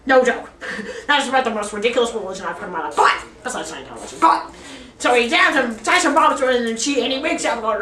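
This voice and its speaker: A woman's voice: a little high, pushed and bright. Giggly girl rambling in an echoey classroom. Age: teens. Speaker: student storyteller. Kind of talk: rambling improvised story. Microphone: camera across the room. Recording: echoey classroom, distant camera.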